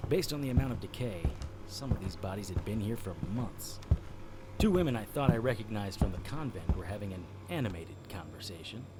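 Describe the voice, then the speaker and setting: Hard-boiled monster hunter male actor, lowish voice, dry deadpan delivery, first-person audio drama narration. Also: fairly quick, very noisy, lightly acted. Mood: grim, tense.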